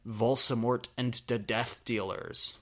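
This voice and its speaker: A man's voice: mid-range voice, steady tone, a bit bright. A man hosting a reading of amateur fan fiction, pronouncing every typo and abbreviation exactly as written. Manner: deliberately reads typos and abbreviations literally.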